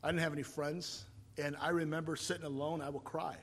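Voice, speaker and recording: A man in his fifties, from Taiwan, preaching a sermon and telling his own story, rising to loud emphatic points and falling back to quiet, reflective storytelling. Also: a little high, level tone, fairly quick, slightly rough. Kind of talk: sermon with personal testimony.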